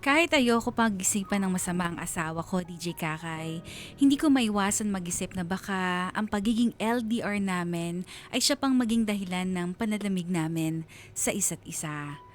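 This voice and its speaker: A rather low voice for a female, a woman playing a sorrowful Filipino husband. It is earnest, confessional radio drama narration over background music. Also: moderate pace, close to an everyday voice. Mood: sorrowful, confiding. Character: sorrowful betrayed husband confiding his story.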